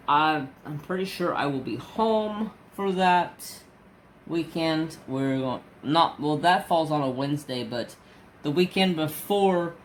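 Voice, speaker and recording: A man's voice: fairly high pitch, slight background noise. A man in his forties chatting casually in a rambling, storytelling way while crafting. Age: 40s. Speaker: crafting vlogger.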